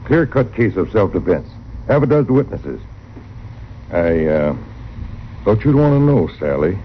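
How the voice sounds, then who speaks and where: Deep, animated frontier doctor. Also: male voice, unhurried. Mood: grave. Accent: American. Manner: urgent, persuasive, paternal. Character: earnest, insistent frontier town doctor. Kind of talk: old-time radio western acting. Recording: muffled, noisy old radio recording.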